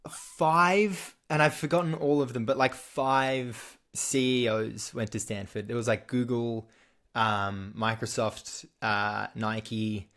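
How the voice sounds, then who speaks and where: A man's voice: mid-range voice, slow. Chatty young Australian man hosting. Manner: relaxed, chatty, with frequent fillers and restarts. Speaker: podcast host. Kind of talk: casual sports interview.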